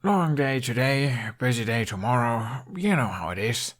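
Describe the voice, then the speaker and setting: A man's voice: mid-range voice, expressive, normal speed, natural voice. A man voicing a wry, evasive young prince in a story reading, with a casual, sardonic manner. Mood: feigned nonchalance.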